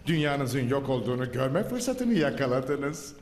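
A man's voice: mid-range voice, sing-song, unhurried, a little mellow, a little hiss or hum. A man voicing an old scheming sewer tyrant in a dubbed cartoon, speaking in a gloating, theatrical way. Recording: roomy, reverberant.

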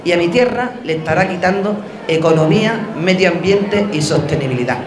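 A woman's voice: fairly low pitch, lively intonation, fairly quick, background noise. A middle-aged woman giving an emphatic public speech, building lists to a climax. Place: indoor exhibition stand at a fair. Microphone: handheld mic. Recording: echoey fair hall with crowd.

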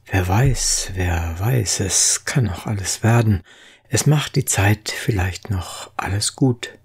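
A poetry reader with a very husky, breathy voice and a creaky edge. The delivery is slow, solemn and expressive, with each phrase set down deliberately. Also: low-pitched. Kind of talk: dramatic poetry recitation.

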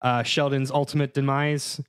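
Male podcast host with mid-pitched voice. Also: level tone, normal speed, clear, resonant tone. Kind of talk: mock-serious podcast discussion.

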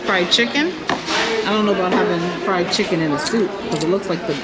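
Frank mom reviewing soups amid crowd. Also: female voice, fairly low pitch, brisk, poor audio. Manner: frank, talks through bites, directs her kids. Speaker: family vlogger reviewing food. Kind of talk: casual food review while eating. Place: busy cruise ship buffet restaurant indoors. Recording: loud crowd of diners behind. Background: crowd chatter.

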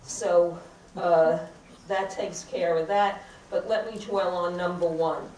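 Slow, halting older woman in echoey hall. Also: on the low side, steady tone, darkish tone, slight background noise. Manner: deliberate, halting with frequent "uh" fillers. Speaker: resident speaking at public comment. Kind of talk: public comment at a council meeting. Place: large crowded council chamber. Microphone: podium microphone, heard from a far camera. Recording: echoey chamber recorded from far off.

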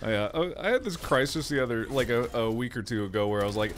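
A man's voice: medium pitch, animated, fast talker, slight background noise. A man playing along on voice chat, laid-back and teasing as he chats during a game. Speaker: co-player on voice chat. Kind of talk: casual gaming chat.

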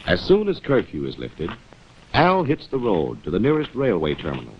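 A man's voice: lowish voice, wildly swooping pitch, moderate pace, slight background noise. An American man narrating a 1940s instructional film, chiding its characters directly in a wry, rhetorical way. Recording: dull 1940s film soundtrack, music.

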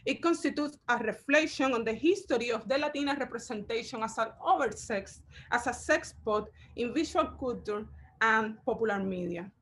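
A woman, a curator giving a measured online lecture over slides, pausing often with fillers. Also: a little high, animated, a bit bright. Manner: measured, halting, with frequent fillers.

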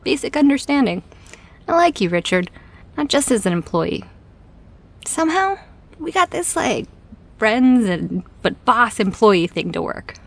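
A woman's voice: on the high side, very animated, a little slow, long pauses, full character voice. A woman playing a frank, dry-humoured boss in an audio drama, speaking in a casual, candid way. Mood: fond. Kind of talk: audio drama acting, conversational.

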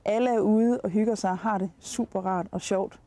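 A woman's voice: mid-range voice, steady tone, dark tone, no echo. A Danish woman in her forties presenting practical tips to camera as a travel host. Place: outdoors at a campsite, in and beside a tent awning.

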